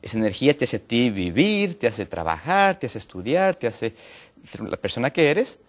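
A man from Ecuador, a natural medicine health counselor, explaining as a TV guest with many hesitant fillers and pitch rising on his points. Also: very animated, moderate pace. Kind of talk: explaining on a TV talk show.

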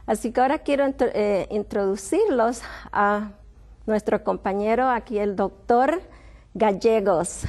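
A woman's voice: medium pitch, measured pace, darkish tone. A middle-aged woman hosting a television health program, welcoming and interviewing a guest with frequent hesitations and restarts. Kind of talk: friendly TV interview.

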